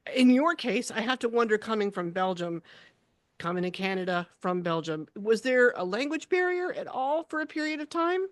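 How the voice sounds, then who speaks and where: A woman in her thirties chatting casually as an interviewer, in an animated, informal and emphatic way. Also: fairly high pitch, normal speed, dry recording, clean studio sound. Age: 30s. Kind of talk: casual chat.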